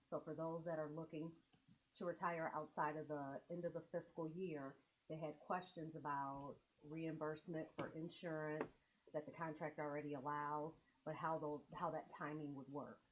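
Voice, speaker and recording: A woman presenting to a school board, explaining contract details in a measured way. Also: sits low, low-quality recording. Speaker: district administrator.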